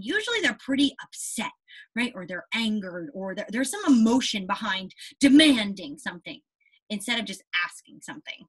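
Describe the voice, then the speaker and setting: A young woman speaks as a primary school teacher leading a read-aloud. Her voice sits a little high with a husky, unpolished edge. She is expressive and emphatic, repeating key words and checking in with her young listeners. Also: poor audio. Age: young adult. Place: small indoor room with a whiteboard.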